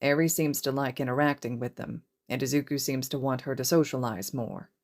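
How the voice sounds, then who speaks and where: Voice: medium pitch, brisk, lightly acted. A narrator voicing a stern, matter-of-fact man, a strict teacher in an audiobook story. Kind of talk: audiobook character voice.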